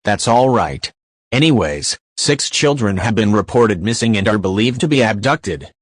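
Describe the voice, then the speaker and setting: A man's voice: fairly low pitch, animated, normal speed, breathy edge, dry recording. A man playing a serious, dismissive news anchor in an audio drama newscast, with a formal presenter's delivery.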